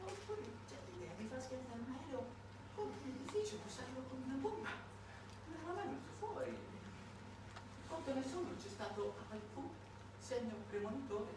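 A man's voice: very high-pitched, big pitch swings, moderate pace, heavy background noise. A man narrating a satirical theater monologue as a solo stage actor, in a dramatic, declamatory way. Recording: very echoey, distant stage recording with music.

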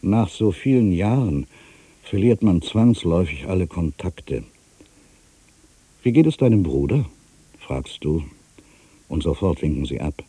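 A brooding older writer in a radio drama, really low voice, swings up and down a lot, gravelly, halting, talking to himself. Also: male voice, lively intonation, warm tone, dry recording, exaggerated voice. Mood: bitter, resigned. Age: 50s. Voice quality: gravelly, husky. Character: aging melancholy male writer back in his hometown. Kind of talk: radio play interior monologue.

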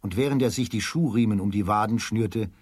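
A male narrator tells a children's tale for radio. His voice is low and husky, recorded close and dry, in a scripted storytelling style. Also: brisk, darkish tone, dry recording.

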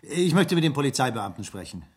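An actor playing a brisk, self-assured real estate businessman in a 1970s German radio crime play, with a curt, businesslike manner. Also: medium pitch, sing-song, husky. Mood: curt, concerned.

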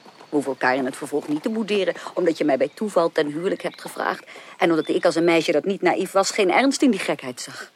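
A woman's voice: lowish voice, animated, fast talker, slight background noise, close to an everyday voice. An actress playing a proud, candid young upper-class woman in a radio drama, speaking formally and firmly. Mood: firm, earnest. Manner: formal, firm, reproachful. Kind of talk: 1980s Dutch radio drama acting.

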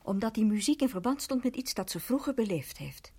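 A woman playing an earnest, uneasy party guest in a radio drama, speaking with hushed emphasis. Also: a little high, expressive, fairly quick, slightly rough.

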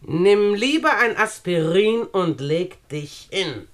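An actress playing a wealthy, condescending older aunt in a German radio play, speaking standard German in a deliberate, haughty manner. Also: female voice, on the low side, lively intonation. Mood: condescending, bossy. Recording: studio radio drama recording.

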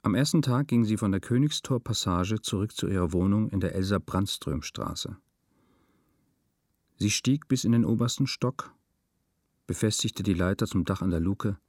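An actor playing a detached male narrator, reading a literary radio drama in a deadpan, meticulous, measured manner. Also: lowish voice, no echo, clean studio sound. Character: detached male first-person narrator of a melancholy story.

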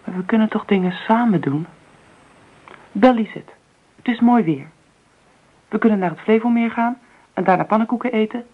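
A woman's voice: fairly high pitch, normal speed, pauses often, close to an everyday voice. An actress playing an insistent, coaxing woman in a Dutch radio play. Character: insistent, coaxing woman pleading with a sullen companion. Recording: tinny, phone-like old radio recording.

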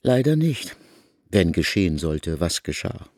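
Gravelly low male narrator. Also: big pitch swings, measured pace, darkish tone, no echo, clean studio sound. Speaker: narrator of a radio drama. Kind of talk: literary scripted narration.

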